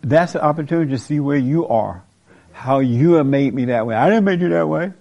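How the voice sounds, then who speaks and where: Gravelly man preaching on a lo-fi show. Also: on the high side, expressive, a little fast, darkish tone. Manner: emphatic, repeats key lines, jokes and laughs. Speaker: host of a call-in talk show. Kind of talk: preachy talk-show monologue. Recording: dull lo-fi audio.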